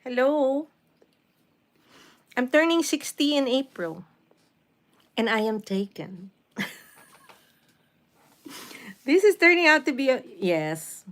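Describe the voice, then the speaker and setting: A woman's voice: high-pitched, very animated, takes it slowly, long pauses, a bit bright. A woman of fifty-nine with a Filipino accent hosts a casual livestream, reading out and answering viewer comments in a motherly, encouraging way and sometimes switching between English and Tagalog. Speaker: livestream host.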